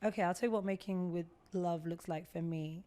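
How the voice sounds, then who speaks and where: Low-voiced woman, chatty guest. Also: fairly quick. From United Kingdom. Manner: animated and talkative, storytelling. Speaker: guest, skincare entrepreneur. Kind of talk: casual interview talk.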